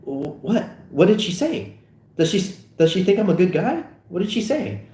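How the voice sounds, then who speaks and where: A man's voice: high-pitched, sing-song, moderate pace, mellow, voice pushed up. A man voicing a flustered teenage boy who stammers and panics. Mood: panicky. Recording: roomy echo.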